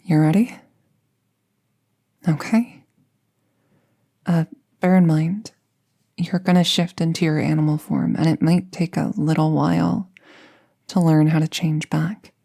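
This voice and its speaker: A woman plays an apprentice witch in an intimate audio drama. Her voice is mellow and soft, with a slow, careful delivery and very little rise or fall. She comes across as gentle and reassuring. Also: low-pitched, steady tone, gravelly, heavily acted.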